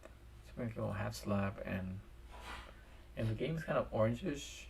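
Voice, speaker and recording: Low-pitched man with a gravelly, tired voice who rambles while building a game map on a stream. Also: lively intonation, normal speed, a little mellow, slight background noise. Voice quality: raspy, tired voice. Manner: rambling, thinks aloud with restarts. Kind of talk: casual commentary while building. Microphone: desk microphone.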